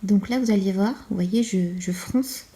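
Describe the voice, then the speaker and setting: A woman's voice: mid-range voice, normal speed, warm tone. A woman in her thirties giving a casual, chatty product review as a beauty vlogger, confiding and self-deprecating. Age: 30s. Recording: roomy, echoey indoor sound.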